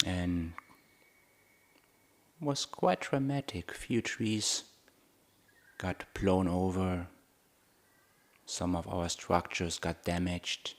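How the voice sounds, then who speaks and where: Very slow, low-voiced male meditation guide. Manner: measured, with long pauses between short phrases. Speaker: Buddhist monk, meditation teacher.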